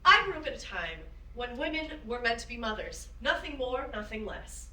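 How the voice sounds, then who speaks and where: A woman's voice: fairly high pitch, sing-song, moderate pace, pushed and bright, exaggerated voice. A woman playing a spirited, encouraging woman aviator in a staged play reading, with an earnest, emphatic manner. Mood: storytelling. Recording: roomy, distant microphone.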